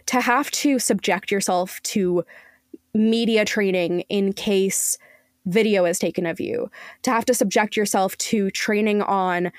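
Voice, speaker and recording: A woman's voice: mid-range voice, unhurried, no room sound. Earnest woman guest, dry close sound. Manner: earnest, emphatic, articulate. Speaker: podcast guest, journalist. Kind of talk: conversational interview.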